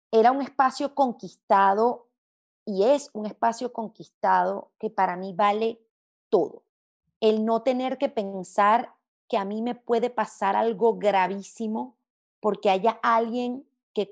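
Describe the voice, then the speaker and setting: Expressive high-voiced woman, slightly creaky. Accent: Spanish of mixed Latin American sound, hard to place. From Venezuela. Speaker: interview guest, gastronomy journalist. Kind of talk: reflective conversational interview.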